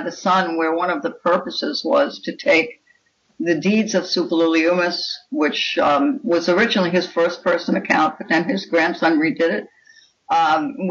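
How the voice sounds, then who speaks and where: A woman's voice: lowish voice, a bit bright. Woman author in dull, lo-fi sound. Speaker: guest author. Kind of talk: reflective interview talk.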